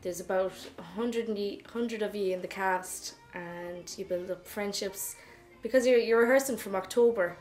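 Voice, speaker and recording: A woman's voice: mid-range voice, moderate pace, darkish tone. Young irish woman just chatting about her panto days, music playing behind. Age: young adult. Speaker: amateur pantomime performer. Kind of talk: casual interview recollection.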